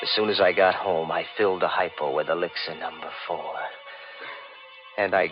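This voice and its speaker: A man's voice: low-pitched, fairly even intonation, moderate pace, close to an everyday voice. An actor playing a cold, greedy murderer who tells his own crime in a menacing, theatrical 1940s radio thriller style. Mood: grim confiding. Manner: menacing, mock-polite, theatrical. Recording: muffled 1940s radio recording with music.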